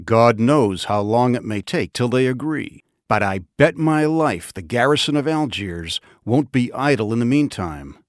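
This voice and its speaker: An actor playing a grave, resolute army officer in a war game, giving a scripted briefing in a deliberate, commanding way. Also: male voice, mid-range voice, animated, no echo, studio quality, natural voice. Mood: grave, wary. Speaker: military commander.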